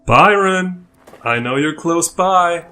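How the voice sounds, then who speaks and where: An actor voicing an excitable, teasing big brother, a young prince given to playful exclamations, in an audio drama. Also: medium pitch, slow, soft background music. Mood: taunting.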